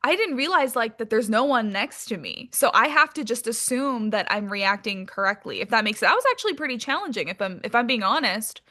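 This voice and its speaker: A woman speaking as an interview guest in a candid, casual chat, animated and informal, peppering her talk with filler phrases. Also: on the high side, brisk, hardly any pauses.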